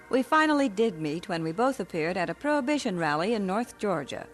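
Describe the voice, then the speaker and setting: A woman narrating her own story in a gentle, reflective 1940s radio drama style. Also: medium pitch. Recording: vintage radio recording with music.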